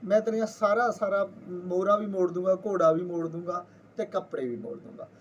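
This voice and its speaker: A man's voice: high-pitched, brisk, dull audio. A man with a Punjabi accent voicing a sly, artful trickster who feigns innocence, in an informally told folktale. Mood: bargaining. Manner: colloquial, bargaining, artful.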